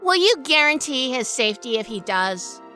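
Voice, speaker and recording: A woman's voice: high-pitched, big pitch swings, normal speed, pushed and bright, soft background music, exaggerated voice. A woman playing a shrewd, sassy, hardboiled heroine narrating a detective audio drama. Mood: shrewd, cool. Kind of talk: first-person detective drama acting and narration.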